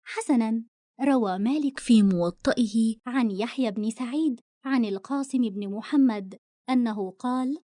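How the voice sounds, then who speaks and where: A woman plays a wise, friendly talking book from a children's cartoon. The voice is high and very dry, telling moral tales in formal Arabic with a measured, teacherly manner. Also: mellow, no echo, close to an everyday voice.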